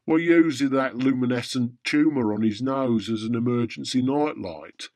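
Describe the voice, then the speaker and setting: Radio-drama Santa played by a man: immortal, weary, wryly deadpan saint. Middling pitch, measured delivery, dry close sound. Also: no room sound, close to an everyday voice. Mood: deadpan, assured. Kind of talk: radio drama dialogue in comic pantomime.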